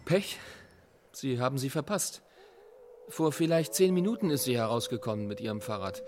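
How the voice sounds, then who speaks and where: A man's voice: medium pitch, moderate pace, natural voice. Guy acting as local man talking to a visitor, radio drama, noisy behind him. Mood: matter-of-fact. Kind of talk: radio play dialogue. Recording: clear background noise.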